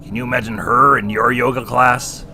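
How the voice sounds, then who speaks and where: A man's voice: medium pitch, level tone, moderate pace, pushed and bright. An actor playing a wisecracking male clown who co-hosts a scripted horror review. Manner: punny, teasing. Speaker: co-host. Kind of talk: scripted comedic review banter.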